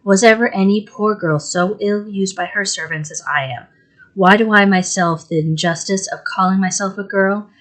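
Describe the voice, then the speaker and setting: A woman reading aloud and voicing a pretty young widowed mother who is tearful and easily hurt, pleading in a high, rising voice. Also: expressive, moderate pace, few pauses, lightly acted. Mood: distressed. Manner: plaintive, pleading, rising in distress.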